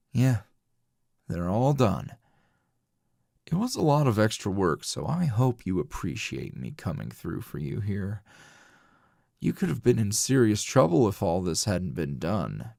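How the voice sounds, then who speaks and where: A man acts out a tired, flirtatious male teacher in an intimate ASMR roleplay. His voice is low and creaky, and he drawls languidly with dry teasing. The pitch swings widely as he lingers between phrases. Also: a little mellow, dry recording, exaggerated voice. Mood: weary, reproachful. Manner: languid, drawling, with sighs and pauses.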